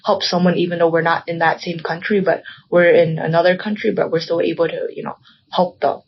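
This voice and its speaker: Young woman, lower voice, rambling casual chat, lots of fillers, dull lo-fi sound. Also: flat delivery, a little fast, a little hiss or hum. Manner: rambling, heavy use of "like" and "um". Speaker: podcast guest.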